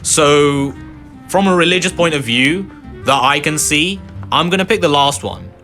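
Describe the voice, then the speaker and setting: A man's voice: fairly high pitch, expressive, unhurried, sharp tone, faint music behind. A young man casually commenting on a game as he plays, chatty and rambling with many fillers. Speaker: gaming video host. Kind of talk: casual let's-play commentary.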